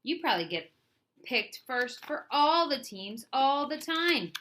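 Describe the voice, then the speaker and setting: A woman reading aloud, voicing a mean schoolboy bully who sneers and taunts in a high, bright voice. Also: big pitch swings, unhurried, a little hiss or hum, heavily acted. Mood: flustered.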